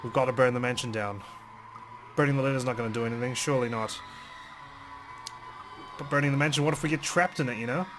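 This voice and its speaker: A man's voice: medium pitch, fast, long pauses, music in the background. A man hosting a let's play, reading a visual novel aloud dramatically and commenting on it.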